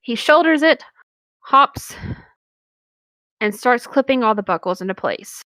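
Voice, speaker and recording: A woman's voice: fairly high pitch, expressive, unhurried, fairly bright tone, no echo, rough recording. A woman co-hosting a story reading, narrating in the first person with brief casual reactions. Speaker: co-host reading stories aloud.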